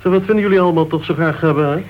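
An actor playing a hearty, outspoken crime-writer club member in a radio play. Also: male voice, a little high, racing, natural voice. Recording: dull, faintly noisy vintage radio recording.

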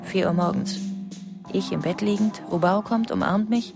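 Troubled wife played by an actress, music beneath. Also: female voice, medium pitch, moderate pace, vocal fry, close to an everyday voice. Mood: bleak, confessional. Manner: intense, fragmented, list-like narration. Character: troubled, haunted wife confessing her suspicions to a police inspector. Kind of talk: radio drama monologue.

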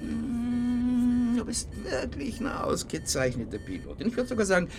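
A man's voice: extremely high pitch, expressive, measured pace, gravelly, slight background noise, heavily acted. An actor playing a cocky older male flight instructor in a radio play, teasing and provoking his trainee with laughs. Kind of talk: radio drama acting.

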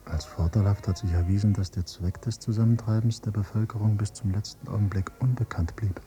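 A male actor playing a grown son, a teacher, who questions and narrates in a measured, probing way in a German radio drama. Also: on the low side, steady tone, warm tone, close to an everyday voice. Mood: sober, detached. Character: accusing grown son, a teacher probing his father's past.

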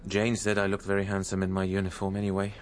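An actor in a radio drama playing an earnest, devoted, gentlemanly male friend. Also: deep voice, completely flat delivery, fast, slight background noise, natural voice. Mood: wry narration.

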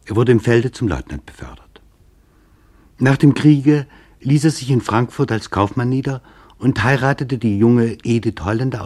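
A husky man's voice, a little low, delivers scripted documentary narration. He is solemn and formal, shaping literary sentences deliberately as a radio presenter. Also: a little mellow.

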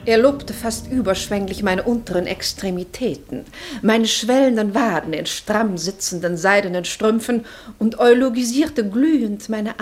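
A woman's voice: mid-range voice, natural voice. An actress playing a prim, indignant society lady with an affected, genteel manner in a 1960s German radio drama. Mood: scandalised.

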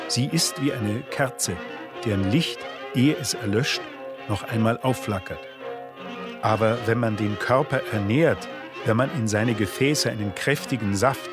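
Measured male radio narrator over music. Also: on the low side. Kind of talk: scripted radio feature narration, literary reading.

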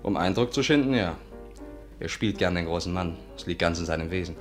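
Suave sleuth, deep voice, quick delivery, coaxing and insistent, 1950s radio play. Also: male voice, animated. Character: smooth, persuasive male amateur sleuth coaxing his sweetheart. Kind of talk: 1950s German radio drama acting. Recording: 1950s radio recording, music behind.

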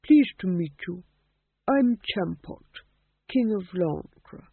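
A man's voice: sits high, expressive, slow pace, gravelly, husky, no room sound, full character voice. A man voicing a cheerful, rambling old ghost of an ancient king in a storybook reading, breezy and helpful. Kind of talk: audiobook character voice. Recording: narrow, phone-like audiobook sound.

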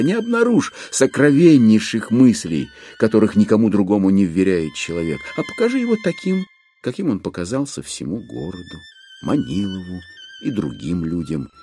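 An actor playing a solemn, philosophizing author who narrates a literary audio drama in a declamatory manner with weighty pauses. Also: male voice, sits low, dark tone. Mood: impassioned. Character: solemn, philosophizing male author musing on human passions.

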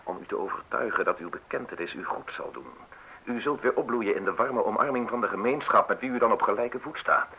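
Husky, menacing state official. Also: male voice, on the low side, expressive, bright, forceful tone, exaggerated voice, rough recording. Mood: insistent, ominous. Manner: measured, formal, insinuating persuasion. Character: suave, menacing male state justice official offering a deal. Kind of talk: radio drama acting.

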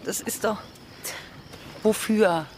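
A woman's voice: medium pitch, takes it slowly, a little mellow, a little hiss or hum, natural voice. Tender, battle-weary pirate woman played by an actress. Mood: pleading. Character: Mary, female pirate, tender, devoted, weary of fighting. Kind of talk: German radio drama acting. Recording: studio radio play with prominent music.

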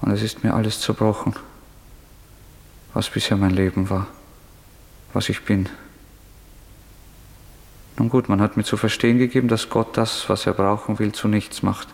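An actor playing a proud, bitter young student murderer in a radio play, with an intense, sardonic, defiant delivery. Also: male voice, lowish voice, level tone, normal speed, gravelly, pauses often. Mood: bleak, proud confession. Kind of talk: German radio play acting.

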